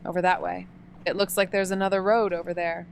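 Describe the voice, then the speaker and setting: A woman voicing a sarcastic, excitable young woman who trades snarky, profane quips in a noir audio drama. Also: on the low side, a little fast, slight background noise, close to an everyday voice.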